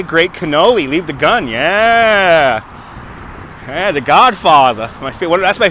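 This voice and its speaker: A man's voice: fairly high pitch, bright, forceful tone, slight background noise. A man with a New York accent, chatting casually with viewers during a live walking stream outdoors on a waterfront, recorded on a phone on a gimbal. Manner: chatty, answering viewers, quick laughs. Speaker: livestream host. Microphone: phone on a handheld gimbal.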